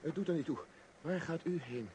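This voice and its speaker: A man's voice: a little high, fairly quick, mellow, lightly acted, rough recording. An actor playing a lost, restless man seeking escape in a radio play. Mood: urgent, reckless. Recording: hissy old radio recording.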